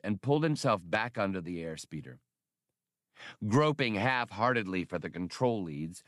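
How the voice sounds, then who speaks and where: A man narrating an audiobook in a measured, steady storytelling manner. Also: lowish voice, dry recording, clean studio sound.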